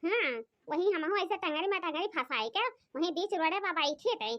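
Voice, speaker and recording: A woman voicing a gossipy, complaining young village wife in an Awadhi cartoon comedy, with an Awadhi accent and a shrill, nagging chatter. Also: moderate pace. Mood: grumbling. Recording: dry, muffled lo-fi.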